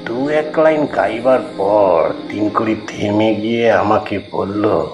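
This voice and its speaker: A man narrating a scripted first-person horror story in a measured, suspenseful way. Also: fairly low pitch, over music.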